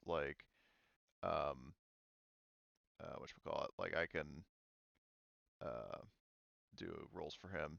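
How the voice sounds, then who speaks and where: Guy game mastering a tabletop rpg, super clean smooth voice, kinda thinks out loud, dry slightly dull mic. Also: sits low, dry recording. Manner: halting, thinking aloud. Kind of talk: running a tabletop role-playing game.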